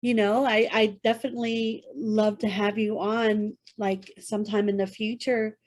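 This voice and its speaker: A woman's voice: medium pitch, flat delivery, moderate pace, a little mellow. A woman co-hosting a podcast, chatting casually and using many filler words. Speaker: podcast co-host.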